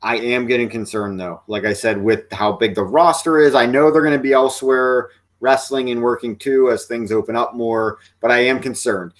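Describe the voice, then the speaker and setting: A man with a mid-range voice speaks thoughtfully and a little hesitantly, filling pauses with "um" and "you know". His tone is steady and conversational. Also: mid-range voice. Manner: measured, hesitant, with many fillers. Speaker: podcast co-host. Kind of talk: casual wrestling chat.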